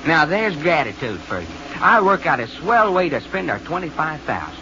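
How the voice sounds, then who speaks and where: A folksy, boastful Southern American adventurer in a vintage radio serial. He drawls in slang with big swoops of pitch. The old recording is muffled, with clear noise around him. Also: big pitch swings, normal speed. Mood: excited.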